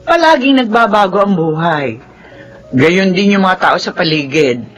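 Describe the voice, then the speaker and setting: A man's voice: high voice, measured pace, faint music behind. A man acting a chatty, exasperated village neighbour with a Filipino accent in a radio drama. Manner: animated, gossipy.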